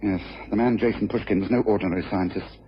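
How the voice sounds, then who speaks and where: An actor playing a brisk, commanding detective in a radio crime drama, with an incisive, intense and self-reproachful manner. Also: male voice, on the low side, steady tone, close to an everyday voice. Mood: urgent, authoritative. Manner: incisive, assertive, lecturing. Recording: dull, hissy old radio recording.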